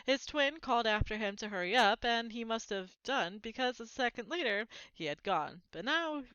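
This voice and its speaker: A woman reading a children's story aloud as narrator, in an expressive storytelling style. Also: a little high, normal speed, smooth, dry recording, muffled recording.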